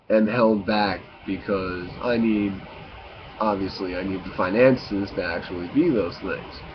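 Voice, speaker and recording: A man in his late twenties with a low voice talks casually and confessionally, as if to his own vlog audience. His delivery is slow and halting, stopping and starting as he goes. The recording is muffled and lo-fi. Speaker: video blogger. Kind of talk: casual confessional monologue to camera. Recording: muffled lo-fi with faint hiss.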